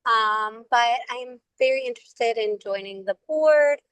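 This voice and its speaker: A woman hoarse from the flu speaks on a video call, eager and earnest as she puts herself forward for the board. Her voice sits a little high. The sound is dry and close. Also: a little slow, a bit bright, no echo. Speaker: board candidate.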